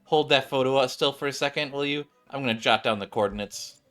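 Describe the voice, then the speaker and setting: A man's voice: medium pitch, brisk, a bit bright, faint music behind, natural voice. A man voicing a breezy, sly, teasing female game character in an offhand way.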